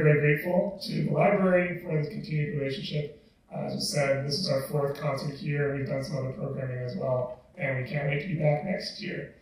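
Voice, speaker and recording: A man's voice: fairly high pitch, a little mellow, recorded from a distance. Middle-aged man at a podium, echoey hall. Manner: chatty, slightly rambling, with fillers. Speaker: assistant dean introducing a concert. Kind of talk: public announcement speech. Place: large library concert hall. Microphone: podium mic from a distance. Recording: echoey hall, heard from afar.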